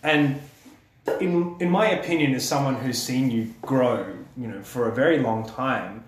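A man's voice: mid-range voice, a little slow. A young man hosting a podcast, speaking earnestly and encouragingly in long, building sentences. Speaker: podcast host. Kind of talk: reflective podcast conversation. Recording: roomy echo.

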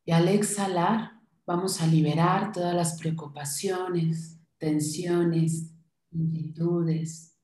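A woman leading a guided meditation in a soothing manner, giving short instructions with pauses between them. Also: lowish voice, steady tone, dark tone, reverberant. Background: music.

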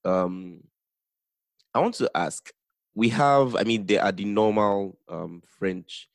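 Deep, gravelly podcast host. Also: male voice, slow, dry recording. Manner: playful, teasing questioner. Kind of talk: casual podcast interview.

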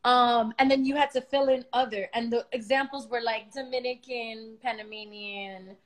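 A woman from Miami telling a story in casual chat, animated and exasperated, swearing freely. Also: a little high, normal speed. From Miami, United States.

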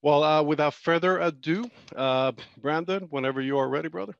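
Male host, gravelly voice, halting delivery with lots of fillers, muffled dry sound. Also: on the high side, no room sound. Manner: halting, many fillers and restarts. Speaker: host introducing a teacher. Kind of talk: loose, rambling introduction.

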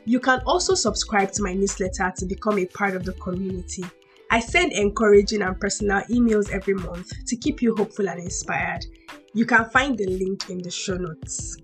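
A young woman hosting a faith podcast, talking in a chatty way with a hoarse voice and laughing between points. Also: mid-range voice, fairly quick, faint music behind. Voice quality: husky. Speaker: podcast host. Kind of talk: faith-based casual podcast talk.

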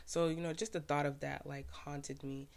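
A man's voice: a little high, level tone, normal speed, gravelly. A young man from Haiti, a podcast host and poet, casually explaining his own poem in laid-back slang full of "like" fillers.

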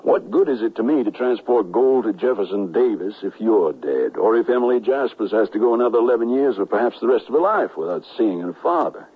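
A man's voice: lowish voice, expressive, a little fast, hardly any pauses, slightly rough, narrow, tinny audio. An actor playing a troubled, loyal businessman caught in a conspiracy, earnest and weighty, in old-fashioned radio drama style. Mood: urgent. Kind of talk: old-fashioned radio drama acting with first-person narration. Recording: band-limited radio broadcast, music behind.

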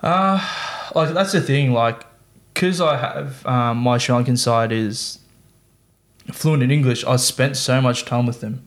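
Young aussie guy chatting on a podcast, lots of ums, trailing off, mid pitch. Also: measured pace. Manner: rambling, many fillers and trailing restarts. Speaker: podcast guest. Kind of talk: casual chat.

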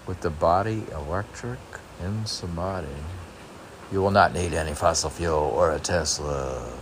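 A man reading his own short poems aloud, rambling wryly and talking back to himself in casual commentary. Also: low-pitched. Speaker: poet reading own work. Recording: noticeable background noise.

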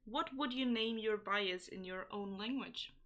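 A woman's voice: mid-range voice, unhurried, a bit bright. A woman co-hosting a podcast, chatting casually and reading listener answers aloud. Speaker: podcast co-host. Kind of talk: casual chat.

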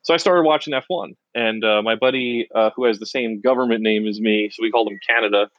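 A man's voice: fairly low pitch, fast talker, no echo. Rambling middle-aged American man, dull lo-fi sound. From United States. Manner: rambling, self-mocking storyteller. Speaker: podcast co-host. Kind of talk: casual chat.

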